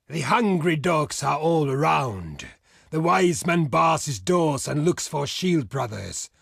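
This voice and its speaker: A proud barbarian envoy from a strategy game, with a rough, gravelly and husky voice. He declaims formally and slowly, weighing each phrase like a grave warrior. The sound is close and dry. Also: a little high, fairly bright tone, no echo, heavily acted. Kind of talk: scripted video game diplomacy lines.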